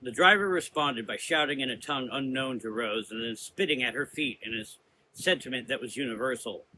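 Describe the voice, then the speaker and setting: A man's voice: medium pitch, brisk, bright, forceful tone, a little hiss or hum, poor audio. A man reading scripted narration for a gothic horror story.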